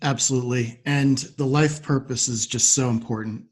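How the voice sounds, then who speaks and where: A man's voice: medium pitch, fairly even intonation, moderate pace, a little mellow, breathy edge. A man hosting an interview podcast, politely affirming his guest and putting questions in a conversational way. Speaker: podcast host.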